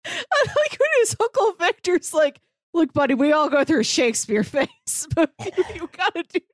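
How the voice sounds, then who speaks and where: A woman's voice: very high-pitched, very animated, moderate pace, no echo, clean studio sound. A woman co-hosting a podcast, chatting casually about comic books and retelling scenes informally with paraphrased dialogue. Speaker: podcast co-host. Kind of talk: casual comic-book discussion.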